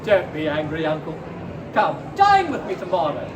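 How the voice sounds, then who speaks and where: A man's voice: a little high, huge pitch swings, moderate pace, pitched below the performer's own voice. A man voicing a cheerful, earnest young nephew in a live story reading, sincere and pleading. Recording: echoey crowded fair hall, distant noisy mic.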